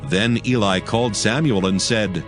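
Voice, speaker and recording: A man's voice: lowish voice, moderate pace, music in the background. A man narrating scripture as a scripted, solemn and formal story.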